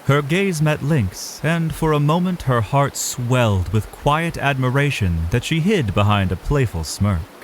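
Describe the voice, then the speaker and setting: A man's voice: mid-range voice, animated, a little slow, few pauses, slight background noise, poor audio. A man narrating a scripted fantasy story in a dramatic style.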